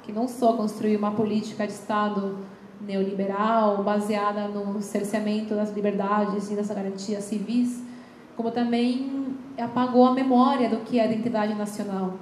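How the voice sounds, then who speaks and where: A woman's voice: mid-range voice, little pitch movement, moderate pace, darkish tone. Woman speaking Portuguese, echoey room. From Chile. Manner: earnest, hesitant restarts. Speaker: seminar guest. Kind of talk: lecture talk. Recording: echoey room with faint noise.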